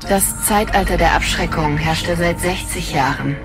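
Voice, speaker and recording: A woman's voice: fairly low pitch, unhurried. A woman speaking scripted lines in a radio drama. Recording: music behind the voice.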